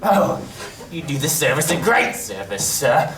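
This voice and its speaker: A man's voice: fairly high pitch, big pitch swings, measured pace. A man playing a pompous, wheedling parish official in a school stage play. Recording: distant roomy stage recording, hiss.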